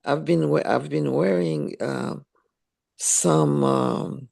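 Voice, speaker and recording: A woman's voice: sits low, slow, vocal fry, darkish tone. A woman from Haiti, a caller on a group video call, who speaks hesitantly with many fillers. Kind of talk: casual group call chat.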